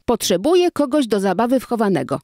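A woman's voice: on the high side, very animated, quick pace, darkish tone, no room sound, studio quality, voice pushed up. A woman voicing an earnest, bossy, imaginative little toddler boy in a children's storybook. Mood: excited.

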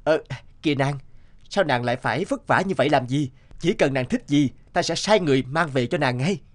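A man voicing a proud, suave young enemy general with a commanding, self-assured manner in an animated tale. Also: on the high side, a little fast, long reverb tail, lightly acted. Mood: courting. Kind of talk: Vietnamese animated moral tale voice acting.

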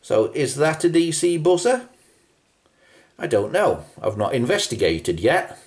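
A man's voice: a little high, big pitch swings, measured pace, darkish tone. A British man giving an unscripted hands-on show-and-tell, musing as he goes and posing rhetorical questions. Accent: British English. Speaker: presenter of a vintage telephone video.

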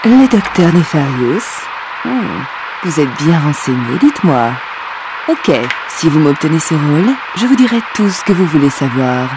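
A flirty robot pop star. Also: female voice, deep voice, very animated, unhurried, noisy background, exaggerated voice. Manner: sultry, coquettish. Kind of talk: French video game dub. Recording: game audio with music bed.

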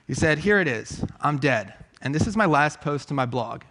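A man's voice: fairly high pitch, expressive, normal speed, a bit bright, slight background noise. A man giving a reflective talk on a theatre stage, speaking through a headset mic. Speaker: conference speaker.

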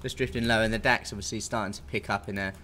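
A man's voice: lowish voice, steady tone, fast, sharp tone. A British man in his thirties giving an informal, conversational live market briefing as a technical analyst. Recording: clear background noise.

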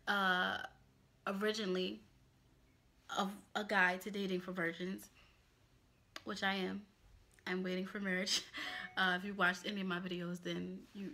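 A young woman in her twenties talks straight to the camera from a small home office, chatty and candid. Her voice is bright and forward, at an ordinary pitch for a woman. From Pennsylvania, US. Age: 20s. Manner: chatty, candid, expressive hand gestures. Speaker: YouTuber. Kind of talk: casual vlog chat to camera.

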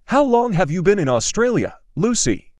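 A man's voice: high-pitched, sing-song, moderate pace, darkish tone, no room sound, clean studio sound. A man reading a simple English dialogue for learners, carefully enunciated and repeating each line. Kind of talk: slow scripted dialogue reading.

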